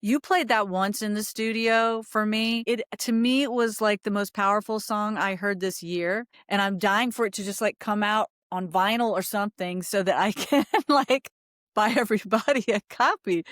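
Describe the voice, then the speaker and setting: A woman's voice: mid-range voice, moderate pace, fairly bright tone, dry recording, studio quality. A woman hosting a casual interview, talking in an effusive, meandering, conversational way. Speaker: podcast host.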